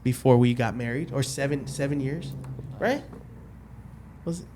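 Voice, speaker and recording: A man's voice: medium pitch, moderate pace, dark tone, a little hiss or hum. A man in his late twenties telling a personal story as a guest on a casual podcast, rambling, restarting often and losing track of the dates.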